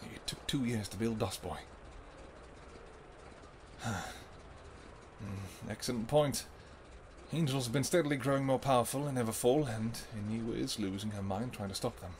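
A man voicing an ancient, protective vampire king in an audio roleplay, who shouts urgent commands and then turns to low, tender murmuring. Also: normal speed, pauses often, slight background noise, natural voice. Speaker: voice actor.

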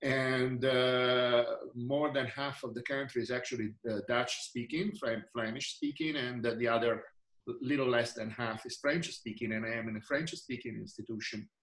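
A man's voice: mid-range voice, fairly even intonation, normal speed, fairly bright tone, echoey room. A man in his sixties with an Italian accent, answering interview questions over a video call with many fillers and restarts. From Italy. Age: 60s. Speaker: researcher and physician. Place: indoor room.

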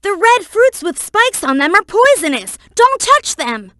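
A woman's voice: extremely high pitch, very animated, measured pace, bright, forceful tone, no echo, studio quality, heavily acted. A woman voicing an eager, brainy young boy fox sidekick in a video game, earnest and helpful as they explain things. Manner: earnest, instructive, explains carefully.

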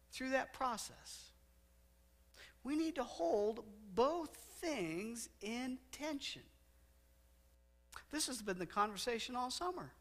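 Middle-aged guy pastor preaching in a church, walks around, leans on words and repeats them slow. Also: extremely high pitch, sharp tone. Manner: preaching while walking the stage, gesturing broadly; repeats and stresses words, slows down for emphasis. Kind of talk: sermon. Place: church sanctuary.

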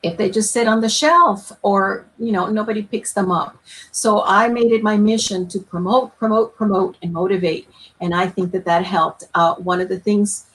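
A woman's voice: mid-range voice, normal speed, fairly bright tone, breathy edge, poor audio. A woman, an author and former librarian, answering a panel question over a video call in an earnest, thorough way with self-deprecating asides. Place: home room on a video call.

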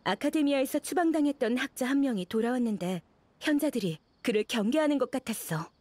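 A female scholar's assistant in a video game. Her voice is fairly high, and she speaks in a guarded, deliberate, matter-of-fact way. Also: lively intonation, mellow, no room sound. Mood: guarded, explaining. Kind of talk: game cutscene dialogue.

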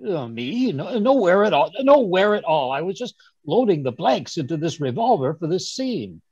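A man playing a fussy, flustered gentleman sidekick in old-time radio style, husky and stammering over a video call. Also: high voice, big pitch swings, moderate pace, dry recording, heavily acted. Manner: stammering, blustering, muttering. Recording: muffled video-call audio.

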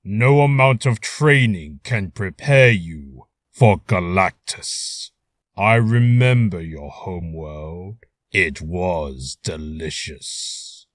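A man's voice: sits low, slow pace, no echo, clean studio sound, voice pushed down. A man voicing a vast, arrogant cosmic devourer, ponderous and haughty, as in a video game. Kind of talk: video game character voice reel.

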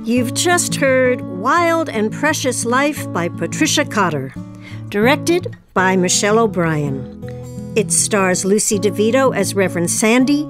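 A woman hosting a podcast, reading credits and asking the cast questions in a brisk, friendly way. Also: medium pitch. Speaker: host. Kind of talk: podcast host interview.

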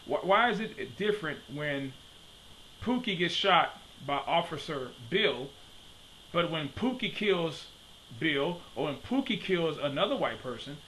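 A man in his thirties from Detroit delivers a preaching-style commentary straight into a desk webcam in his home study. His voice sits a little high and rings bright and forceful. He repeats his questions for emphasis, and a faint background hiss stays under the talk. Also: expressive, measured pace, poor audio. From Detroit, USA.

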